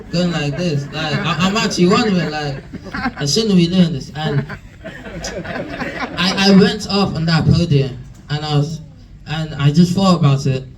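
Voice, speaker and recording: Young guy telling his story, rambly w fillers, kinda mellow, far off mic, faint room hum. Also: a little high. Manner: chatty, many fillers and restarts. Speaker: mentee. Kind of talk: nervous personal story at a talk. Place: room with an audience. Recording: from across a room, faint room noise.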